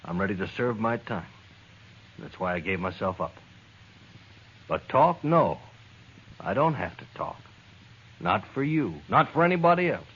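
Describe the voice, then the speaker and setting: Deep-voiced, sullen western outlaw. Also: male voice, big pitch swings. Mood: defiant. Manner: guarded, defiant, halting. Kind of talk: 1950s radio western acting. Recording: dull, noisy old radio recording.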